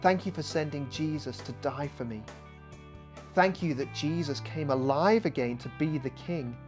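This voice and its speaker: A man voicing a thoughtful, polite male detective in a children's audiobook, measured and earnest. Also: fairly high pitch, darkish tone, natural voice. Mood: earnest, prayerful. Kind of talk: children's audiobook character reading. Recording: music behind the voice.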